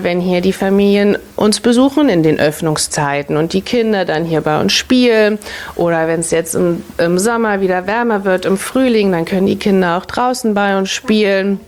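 A woman's voice: medium pitch, a little mellow. A woman in her thirties, a city family-office worker, patiently answering children's questions in simple words, often repeating the question back first. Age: 30s.

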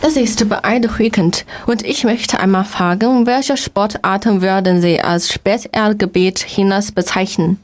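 Young female co-moderator, mid-pitched, poses polite scripted questions. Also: a little slow, few pauses. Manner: polite, reads prepared questions. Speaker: student co-moderator.

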